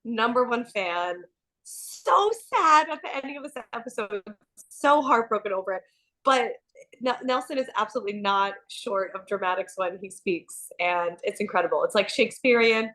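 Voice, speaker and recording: A woman co-hosting a casual TV aftershow chat, a bit nasal from a cold, animated and gossipy with frequent laughter. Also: medium pitch, moderate pace, low-quality recording. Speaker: co-host.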